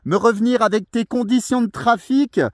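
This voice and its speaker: High-pitched man talking in radio jargon. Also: fairly even intonation, moderate pace. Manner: speaks in radio jargon, courteous phrasing. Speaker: amateur CB radio operator, video maker. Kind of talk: radio contact exchange, casual. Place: outdoors in a forest clearing.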